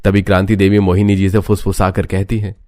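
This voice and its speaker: A man's voice: sits low, monotone, brisk, dark tone. A man reading a scripted family story as narrator. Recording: music bed under the voice.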